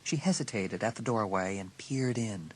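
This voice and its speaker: A man's voice: lowish voice, normal speed, slightly rough, poor audio. A man giving a dramatic, scripted narration of an audiobook story.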